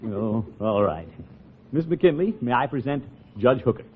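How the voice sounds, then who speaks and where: An American actor playing a pompous, flustered middle-aged water commissioner in a 1950s radio comedy, blustering and muttering in exasperation. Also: male voice, mid-range voice, lively intonation, unhurried, husky. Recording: tinny, noisy old radio broadcast.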